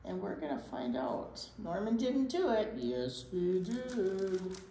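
Animated older woman reading a storybook. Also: mid-range voice. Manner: animated, dramatic pauses and emphasis. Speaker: children's storytime reader. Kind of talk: expressive picture-book read-aloud. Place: indoor children's library room. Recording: roomy space with faint background noise.

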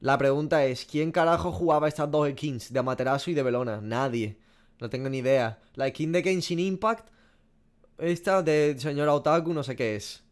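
Animated swearing Spanish gamer. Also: male voice, fairly high pitch, normal speed, clean tone. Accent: Spanish from Spain. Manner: swears often, animated, self-mocking. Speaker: gaming streamer. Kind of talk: casual reaction commentary. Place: small room indoors.

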